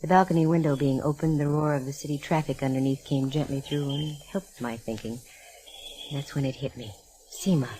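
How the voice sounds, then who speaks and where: A woman's voice: low-pitched, fairly even intonation, fairly quick, dark tone, lightly acted, poor audio. A woman playing a self-assured, wry American private eye who tells her case in hardboiled old-time radio style. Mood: hushed suspense. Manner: wry, breezy, wisecracking. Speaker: radio detective lead and narrator. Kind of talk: 1940s-50s American radio drama, hardboiled narration.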